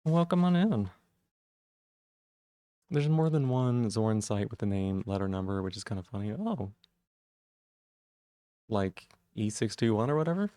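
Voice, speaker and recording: Mellow-voiced streamer chatting with viewers. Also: male voice, sing-song, a little slow, long pauses, dry recording. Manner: friendly, greets chat members by name, jumps up in pitch on greetings. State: rough voice. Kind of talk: casual chat with viewers.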